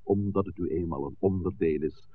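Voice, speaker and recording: A man acting a calm, authoritative village priest in a 1960s radio play, speaking deliberately and oratorically. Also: low-pitched, slightly rough. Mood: measured, firm. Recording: tinny, noisy 1960s radio recording.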